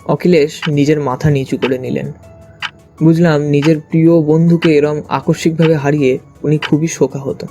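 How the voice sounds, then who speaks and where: Mellow, higher-voiced male story narrator. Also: fairly even intonation, moderate pace. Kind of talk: first-person scripted detective story narration. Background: background music.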